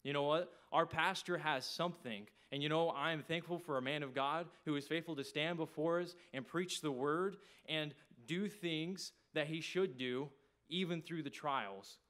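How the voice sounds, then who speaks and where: A man's voice: a little high, normal speed, fairly bright tone. A man with a Texan accent preaching an evening church sermon in a folksy, conversational way. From small town in Texas, United States.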